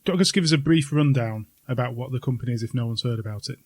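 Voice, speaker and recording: Fast-talking male interviewer, dry close sound. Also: mid-range voice, darkish tone, no echo. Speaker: podcast host. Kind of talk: casual interview chat.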